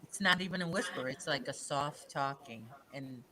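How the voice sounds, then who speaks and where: A soft-spoken woman chatting casually as a guest on a livestream. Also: a bass voice, normal speed. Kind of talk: casual chat.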